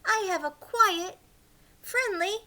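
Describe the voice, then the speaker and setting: A woman's voice: very high-pitched, expressive, takes it slowly, bright, forceful tone, voice pushed up, heavily acted. A woman voicing an eager, wide-eyed little male goldfish in a children's picture book, given to exclaiming. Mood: thrilled.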